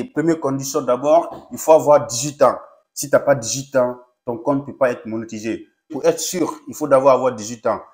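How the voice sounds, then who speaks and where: A young man, not a native French speaker, speaking as a content creator in a casual tutorial vlog with an encouraging pep-talk tone. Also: medium pitch. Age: young adult. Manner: pep-talk tone, addresses viewers as "les gars".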